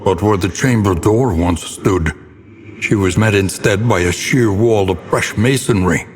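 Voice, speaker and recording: A very low-voiced, grave old narrator of dark gothic tales for a horror game, acted by a man. He delivers each phrase theatrically and with great deliberation. The voice rings with long reverb over audible background noise. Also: a little slow, natural voice. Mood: solemn, foreboding. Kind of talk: dramatic scripted storytelling narration.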